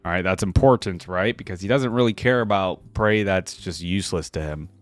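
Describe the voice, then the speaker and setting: Young male reaction host, lowish voice, informal running commentary, dry recording. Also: brisk, no echo. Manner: informal, slangy.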